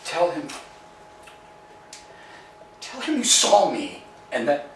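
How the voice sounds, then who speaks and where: An actor playing an anxious, philosophizing tramp in a staged reading, swinging from hushed musing to emphatic outbursts. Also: male voice, on the high side, wildly swooping pitch, slow pace, slight background noise, exaggerated voice. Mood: pressing. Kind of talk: amateur staged play reading. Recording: echoey room, distant mic.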